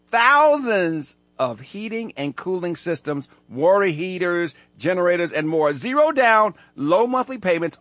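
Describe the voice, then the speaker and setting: A man reading an upbeat radio ad in an excited, salesy way. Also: a little high, no room sound. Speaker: radio ad spokesman. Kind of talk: upbeat scripted ad read.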